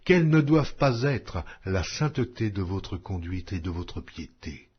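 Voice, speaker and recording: A man reading scripture aloud in a solemn, measured way. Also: lowish voice, husky. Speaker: Bible reader. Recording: dull lo-fi audio.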